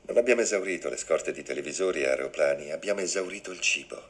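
An actor playing a condescending school official in dubbed film dialogue, measured and officious. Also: male voice, deep voice, close to an everyday voice. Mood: dry, patronising. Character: stern male school official in a dystopian film, blandly condescending.

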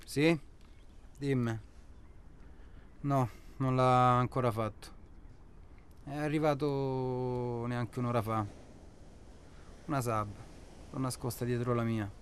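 An actor playing an impatient, rough guide of a sinister safari in a radio drama, speaking in a curt, pressing, colloquial way. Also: male voice, medium pitch, steady tone, slow, pauses often, close to an everyday voice. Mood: reassuring. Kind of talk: naturalistic Italian radio drama acting.

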